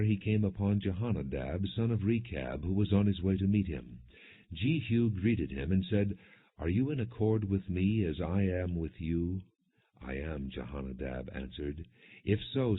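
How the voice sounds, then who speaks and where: The audio is narrow and muffled, like a phone line. A man with a low, faintly husky voice reads scripture as an audiobook narrator. His delivery is measured and solemn, scripted rather than dramatized.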